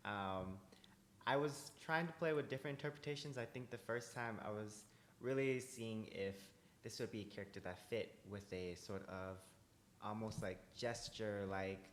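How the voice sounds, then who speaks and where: A man speaking thoughtfully in a casual group discussion, pausing often with ums. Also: fairly low pitch, a bit bright. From United States. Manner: thoughtful, hesitant with many ums. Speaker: actor. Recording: roomy echo, faint noise.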